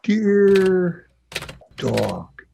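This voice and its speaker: A man's voice: high-pitched, sing-song, drawn-out words, creaky, warm tone, a little hiss or hum, full character voice. A man playing a cheeky, mischievous young schoolboy nephew in an old-time radio comedy. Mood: cheeky, playful. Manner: cheeky, complaining, playful.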